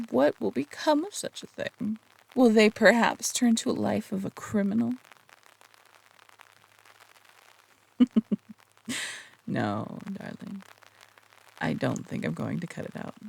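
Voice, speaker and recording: A performer acts out a charming phantom thief in a roleplay audio drama, teasing and flirting at close quarters. The voice is heavily creaky and husky, with lively swings in pitch. The delivery is coy and casual, with an earnest, hesitant streak beneath the playfulness. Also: slow pace, long pauses, full character voice, low-quality recording. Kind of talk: roleplay audio drama, intimate one-sided dialogue.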